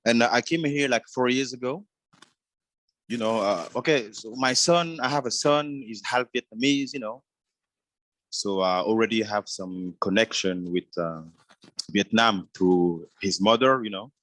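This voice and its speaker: A man from Switzerland, a native French speaker with a French accent, answering interview questions casually and haltingly with constant verbal tags. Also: on the low side, a bit bright, dry recording, poor audio. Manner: halting, repeats "you know" constantly. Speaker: interview guest, businessman.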